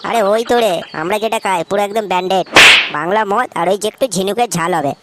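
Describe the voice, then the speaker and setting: A woman's voice: medium pitch, fast talker, heavy background noise, lightly acted. A woman voicing a cocky, foul-mouthed young male street hawker in a comic cartoon, with a West Bengal Bengali accent and a brash, slangy manner. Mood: scheming, upbeat.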